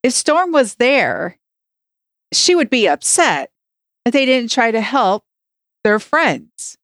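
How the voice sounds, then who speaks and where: A woman's voice: fairly high pitch, big pitch swings, takes it slowly, fairly bright tone, dry recording, studio quality. A woman chatting casually as a panelist on a review podcast. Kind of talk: casual chat, reviewing a cartoon.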